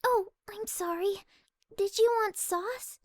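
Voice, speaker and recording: A woman voicing a timid, gentle young female pegasus pony, soft-spoken, hesitant and polite, in a story reading. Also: very high-pitched, slow pace, dry recording, clean studio sound. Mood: apologetic, grateful. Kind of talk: fan audiobook character acting.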